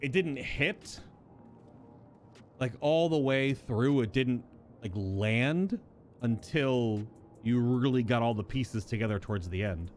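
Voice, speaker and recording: A man's voice: medium pitch, slow. A man streaming a video game, chatting and musing aloud as he gives live commentary on the story. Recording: music behind the voice. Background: video game music.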